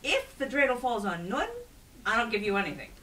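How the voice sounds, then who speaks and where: A woman's voice: on the high side, expressive, a little fast, sharp tone, far from the mic, a little hiss or hum, pitched above the performer's own voice. A woman voicing a sly, cheerful trickster man in a storybook, wheedling and exclaiming in a sing-song way. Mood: sly, instructing.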